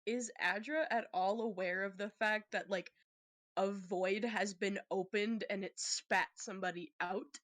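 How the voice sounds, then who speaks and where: A woman's voice: mid-range voice, steady tone, unhurried, fairly bright tone, no room sound. A woman playing in a tabletop role-playing game, chatting in a playful, teasing way and laughing readily. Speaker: player. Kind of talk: casual tabletop game chat.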